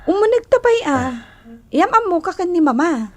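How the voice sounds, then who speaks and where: Coy young woman, high register, Ilocano Filipino accent, radio serial. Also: sing-song, moderate pace. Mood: inviting. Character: sweet, coy young woman, close friend. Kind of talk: Ilocano radio drama acting.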